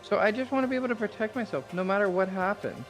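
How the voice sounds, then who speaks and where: A woman's voice: mid-range voice, quick pace, soft background music, lightly acted, rough recording. A woman reading visual novel dialogue aloud as a friendly, earnest teenage schoolgirl.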